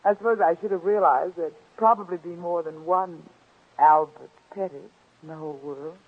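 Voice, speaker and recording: Actress as poised chatty visitor, tinny old radio. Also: female voice, lowish voice, moderate pace, lightly acted. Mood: chatty, sly. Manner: polite, conversational, genteel. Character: poised, chatty young woman visitor, slyly probing. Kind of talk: 1940s radio drama acting. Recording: tinny, muffled old-time radio recording.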